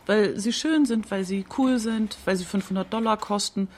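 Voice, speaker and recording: A woman's voice: medium pitch, normal speed, a little mellow, slight background noise. A woman reading the translated words of an interviewee as a documentary voice-over.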